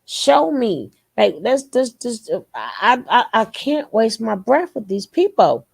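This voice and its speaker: Woman host, sassy reactor, high and animated. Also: slow, gravelly, husky. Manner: sassy, laughing asides. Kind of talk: reaction commentary.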